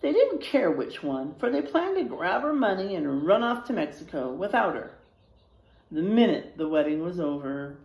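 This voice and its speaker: A woman reading a children's story aloud in a dramatic, animated narrating style. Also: fairly low pitch, moderate pace. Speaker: storybook reader. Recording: roomy, echoing sound.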